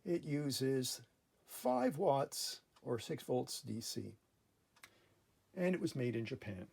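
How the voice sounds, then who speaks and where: Male repair-channel host, creaky and slightly raspy, mid-pitched, methodical and casual in his step-by-step explaining. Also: very animated, takes it slowly, darkish tone. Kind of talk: casual hands-on repair demonstration. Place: indoor workbench in a workshop.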